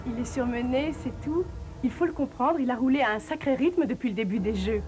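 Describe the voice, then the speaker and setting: A woman voices a sceptical cartoon teammate with a fairly high voice. She is brusque and scoffing, with no patience for nonsense. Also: a little fast, natural voice. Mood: dismissive. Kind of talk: French cartoon dub acting.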